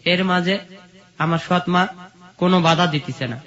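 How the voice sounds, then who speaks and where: A man's voice: sits high, moderate pace, fairly bright tone. A man with a Bangladeshi accent telling his own life story as an interview guest. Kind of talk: personal life-story narration. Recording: dull lo-fi sound.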